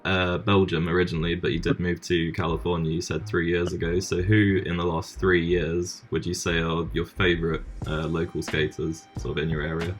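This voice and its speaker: Deep-voiced British host. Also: male voice, level tone, a little fast, few pauses. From United Kingdom. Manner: easygoing, hesitant with fillers. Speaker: podcast host. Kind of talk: casual interview.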